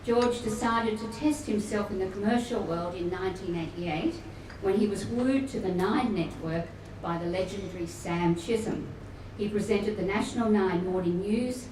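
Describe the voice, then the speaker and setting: A woman welcoming guests at a luncheon, formal but with warm chatty asides. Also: medium pitch. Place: function room at a luncheon event. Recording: echoey room, distant mic, noisy.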